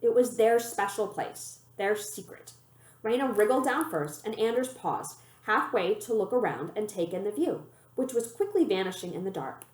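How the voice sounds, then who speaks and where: A woman reading a children's novel aloud as an expressive, animated storyteller. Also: medium pitch, moderate pace. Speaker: librarian reading a book aloud. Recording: roomy, echoey room sound.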